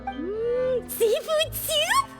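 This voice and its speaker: A woman's voice: very high voice, sing-song, very slow, loud music behind the voice, heavily acted. A woman voicing a small, cheerful, food-loving female companion creature in a video game, with a bubbly childlike manner. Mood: bubbly, eager. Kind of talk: video game character acting.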